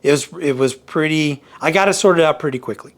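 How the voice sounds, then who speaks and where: A man's voice: a little high, a little fast. A man giving a casual product review, rambling and correcting himself as he thinks aloud. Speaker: video reviewer of vintage hi-fi gear.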